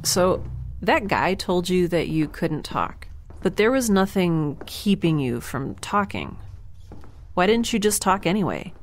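A woman voicing a blunt, sarcastic young scientist with a slightly lowish voice. She sounds dry and unimpressed. A light ambient hum sits behind her in the audio drama. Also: expressive, measured pace.

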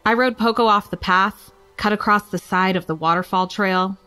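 A woman's voice: mid-range voice, moderate pace, sharp tone, clear, resonant tone, soft background music, natural voice, rough recording. An actress playing a defiant, playful young woman telling her story in a frank, wry, casual way for an audio drama. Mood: defiant, excited.

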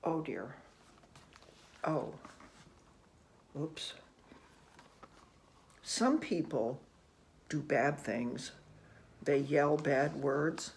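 An older reader with a gravelly, creaky voice shares a picture book with children. The delivery is gentle and unhurried, with soft musing asides. There is faint noise in the small room. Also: mid-range voice. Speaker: children's read-aloud host. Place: small room indoors at home.

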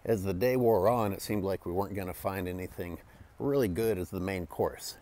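A man's voice: deep voice, normal speed, dark tone, a little hiss or hum. A young man giving scripted, tongue-in-cheek voice-over narration for his foraging vlog.

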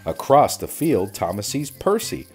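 A man narrating a children's storybook in an expressive read-aloud style. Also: fairly low pitch, unhurried. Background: music.

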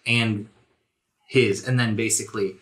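A man's voice: lowish voice, steady tone, unhurried, fairly bright tone. A man improvising narration as the game master of a tabletop role-playing podcast.

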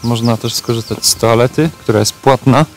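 A man's voice: medium pitch, moderate pace. A Polish man giving casual travel vlog narration as host, speaking outdoors on a hiking trail. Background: music.

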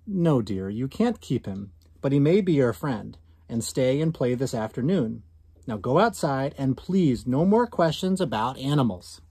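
A man's voice: medium pitch, lively intonation, a little slow, warm tone, slightly rough, dry recording. A man voicing a busy, firm, mildly exasperated mother in a storybook. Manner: firm, reasoning.

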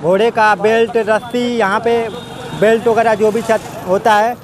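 A man's voice: high voice, level tone, measured pace. A young man touring an outdoor livestock fair with a handheld phone, chatting to his viewers and repeating that they can see everything. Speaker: vlogger showing a livestock fair. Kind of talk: walking travel vlog commentary. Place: outdoor fair market among goat stalls. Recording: busy outdoor crowd behind.

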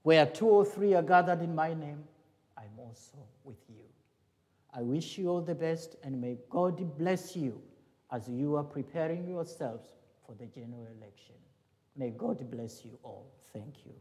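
Slow, earnest priest from Tanzania. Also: male voice, a little high, expressive, warm tone. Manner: slow, earnest preaching with rising emphasis. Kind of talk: homily at Mass. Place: large church sanctuary. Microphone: far camera, church sound system. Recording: long church reverb.

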